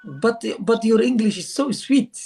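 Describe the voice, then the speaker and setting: A man on a video call, speaking halting, broken English in an eager, flattering way. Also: extremely high pitch, husky, faint music behind. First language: Somali. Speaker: live-stream guest. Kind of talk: casual video-call chat.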